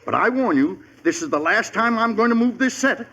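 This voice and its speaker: A man's voice: sits high, expressive, brisk, sharp tone, heavily acted. An actor playing a put-upon, middle-aged suburban husband and father in an old radio comedy, stammering and exasperated. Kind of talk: 1940s radio sitcom acting. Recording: noisy old-time radio recording.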